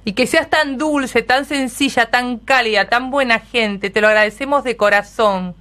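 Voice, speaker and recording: A woman's voice: on the high side, normal speed, a bit bright. A woman with an Argentine accent hosting an unscripted radio chat in a gushing, effusive manner. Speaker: radio host.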